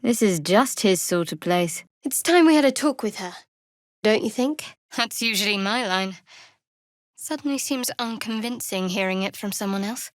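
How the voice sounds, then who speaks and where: An actress voicing a guarded, wry and devout young female cleric in a fantasy video game, with a cool, measured, dryly sardonic delivery. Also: medium pitch, expressive, no echo, clean studio sound, lightly acted. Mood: dry, teasing.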